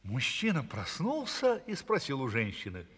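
Husky man storyteller in deep reverb. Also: huge pitch swings, fairly bright tone. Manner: theatrical, drawn-out storytelling. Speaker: narrator. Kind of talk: dramatized fairy tale narration. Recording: long reverberant hall.

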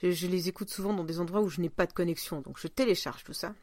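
A woman's voice: on the low side, fast, darkish tone, no room sound. A woman hosting a podcast in casual chat, talking in an informal, rambling, self-deprecating way. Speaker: podcast host.

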